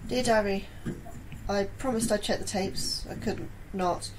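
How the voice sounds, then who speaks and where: A woman playing an anxious, earnest astrobiologist, halting and tense, in a sci-fi horror audio drama. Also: medium pitch, steady tone, slight background noise, natural voice, poor audio. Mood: troubled.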